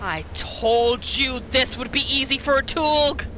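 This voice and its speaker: A woman hosting a gaming channel, giving expressive roleplay commentary and reacting aloud as she plays. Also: sits high, a little slow, a little hiss or hum. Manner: expressive, reacts aloud, talks to the game characters. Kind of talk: video game roleplay commentary.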